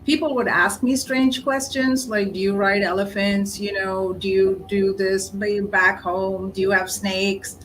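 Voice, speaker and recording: A woman's voice: medium pitch, steady tone, a little slow, few pauses, fairly bright tone, faint music behind, rough recording. A woman who grew up in India, speaking earnestly and reflectively as a participant in a panel discussion.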